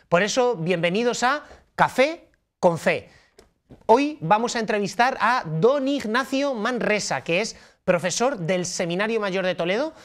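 A man in his thirties hosts a religious TV programme with a Castilian Spanish accent. His delivery is enthusiastic and conversational, like a teacher eager to share, recorded on a studio microphone. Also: high-pitched. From La Mancha, Spain. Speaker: host, priest and Bible teacher. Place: dark TV studio at a table. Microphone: studio microphone on a stand.

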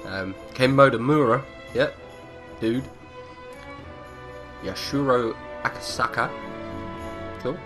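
A man's voice: mid-range voice, takes it slowly, long pauses. A man giving casual, jokey commentary as he plays a video game. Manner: jokey, chuckling asides. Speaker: let's-play host. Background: game music.

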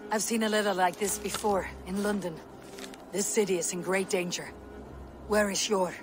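An actress playing a loyal, blunt Viking warrior woman in a video game, measured, stern and commanding. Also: mid-range voice, fairly even intonation, background noise, lightly acted. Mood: grave, resolute. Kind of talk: video game cutscene acting.

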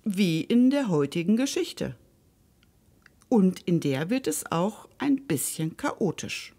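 A woman's voice: on the low side, sing-song, takes it slowly, mellow. A woman hosting and reading a scripted children's story expressively, speaking directly to her listeners. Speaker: storyteller and host.

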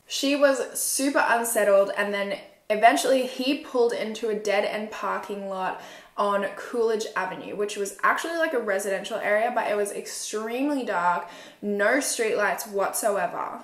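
A woman's voice: mid-range voice, moderate pace. A woman, telling a true crime story conversationally in a furnished room. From Australia. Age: 20s. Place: small furnished room indoors. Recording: roomy indoor echo.

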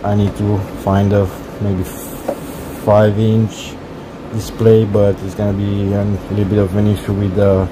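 A man casually walking through his home-built cockpit project, talking haltingly with many fillers. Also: lowish voice, monotone, noisy background. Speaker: hobbyist cockpit builder. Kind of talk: casual build walkthrough. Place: workshop.

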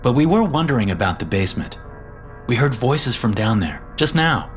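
A man's voice: mid-range voice, very animated, normal speed, pitched above the performer's own voice, lightly acted. A man voicing a young male college tenant, polite and uneasy, in a told story with music behind. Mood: uneasy, reasoning. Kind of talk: storytelling dialogue.

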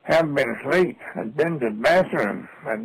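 Gravelly older man on the phone. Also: a little high, animated, moderate pace, slight background noise, poor audio. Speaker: prank call recipient. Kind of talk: phone conversation. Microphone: telephone.

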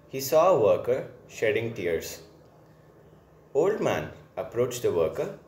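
A man narrating a scripted moral story in a simple, deliberate, instructive manner. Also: mid-range voice, darkish tone. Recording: roomy, echoing voice recording.

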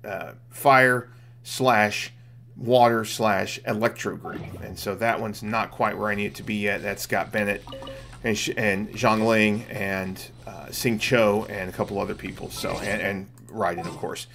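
A man's voice: fairly low pitch, fairly even intonation, unhurried, creaky, a bit bright, slight background noise. A middle-aged man giving casual live gameplay commentary, explaining things to viewers as he streams. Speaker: game streamer and host. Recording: game music behind the voice.

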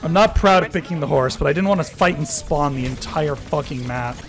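A young man bantering casually with friends while gaming, excitable and quick to swear. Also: on the high side, soft background music. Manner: excitable, foul-mouthed. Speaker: gamer streaming with friends.

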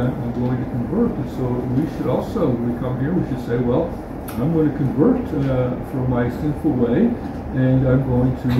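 An older man in his sixties, a priest giving a plain, halting homily with many fillers and restarts, in a small chapel. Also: medium pitch. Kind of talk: informal homily. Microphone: far camera. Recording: echoey chapel from far off, room noise.

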